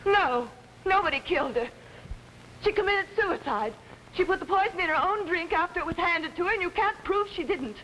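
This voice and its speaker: An actress playing an ambitious, nervous young pianist in an early 1950s TV mystery, halting and defensive. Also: female voice, extremely high pitch, expressive, bright, forceful tone, heavily acted. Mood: flustered, defensive. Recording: reverberant, faintly noisy old 1950s TV soundtrack.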